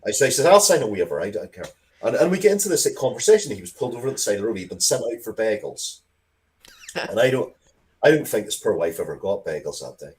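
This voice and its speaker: A man chatting casually as an interview guest, telling rambling, excitable anecdotes with repeated phrases and the odd swear word. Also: lowish voice, low-quality recording. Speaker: interview guest, writer of comics and audio drama.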